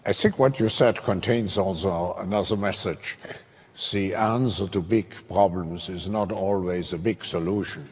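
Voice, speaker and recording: A man's voice: deep voice, unhurried, darkish tone. A man interviewing on stage, asking formal questions with some hesitation. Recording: long hall reverb.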